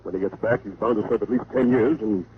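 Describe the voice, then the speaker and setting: Man acting fast-talking radio insurance executive. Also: on the low side, close to an everyday voice. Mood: businesslike. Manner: formal, urgent, matter-of-fact. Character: brisk, businesslike male insurance company executive. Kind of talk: 1940s-50s radio drama acting. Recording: noisy, phone-like old radio recording.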